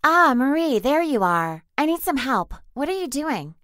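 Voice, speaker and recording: A woman's voice: high-pitched, big pitch swings, normal speed, fairly bright tone, no room sound, clean studio sound. A woman acting a sly, predatory alien beast disguised as a schoolgirl in an audio drama, mock-polite and threatening. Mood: mock-polite prying.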